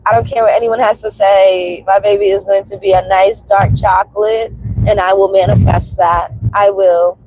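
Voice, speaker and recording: Stuffy, slightly husky woman in her twenties. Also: medium pitch, steady tone, normal speed, mellow, no room sound, low-quality recording. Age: 20s. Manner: candid, emphatic, colloquial. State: stuffy from a cold. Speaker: host of a personal commentary vlog. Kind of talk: casual, confessional chat to viewers.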